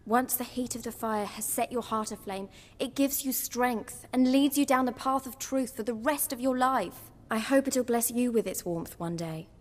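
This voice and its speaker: An actress playing a devout, headstrong young runaway daughter in a video game, earnest and defiant with an edge of bitterness. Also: female voice, fairly high pitch, normal speed, few pauses, close to an everyday voice. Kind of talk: video game dialogue acting. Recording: long reverberant hall echo.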